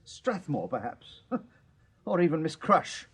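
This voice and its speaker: An actor playing a snobbish Victorian professor and stage medium in a radio drama, English, pompous, precise and evasive. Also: male voice, a little high, very animated, slow, a bit bright, slightly rough, exaggerated voice. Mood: disdainful, evasive.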